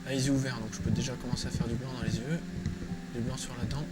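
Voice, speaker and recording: A man's voice: mid-range voice, level tone, fast talker. A man giving a casual, improvised tutorial, thinking aloud informally as he works. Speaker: drawing tutorial presenter. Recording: music playing behind the voice. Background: loud music.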